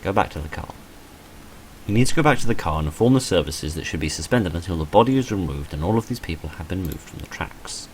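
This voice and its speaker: A man's voice: low voice, lively intonation, fast, slight background noise, rough recording. A man reading visual novel text aloud dramatically, with dry, understated wry commentary in between. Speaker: gamer recording a playthrough.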